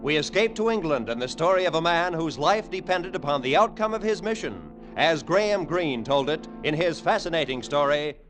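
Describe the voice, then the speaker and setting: An American man announcing a radio show from the 1940s or 50s in a formal, scripted, measured way. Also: fairly high pitch, lively intonation. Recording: old radio broadcast, music underneath. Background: loud music.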